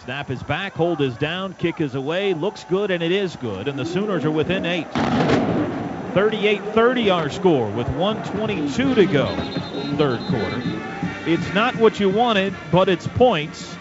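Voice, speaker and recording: A man's voice: sits high, lively intonation, unhurried, over loud music. A man with an American accent calling a live football game on radio as the play-by-play announcer. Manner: shouts during big plays. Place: stadium radio booth. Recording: dull lo-fi radio, loud stadium noise.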